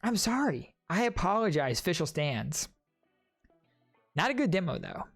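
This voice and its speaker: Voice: medium pitch, big pitch swings, measured pace, creaky, faint music behind. A streamer giving casual, joking reaction commentary and ranking game trailers while talking to chat. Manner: chatty, joking, addresses chat directly.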